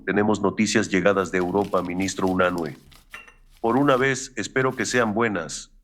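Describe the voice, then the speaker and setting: An actor playing a sober male president addressing his ministers, formal and measured in a historical audio drama. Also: low voice, fairly bright tone, a little hiss or hum. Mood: grave, resolute.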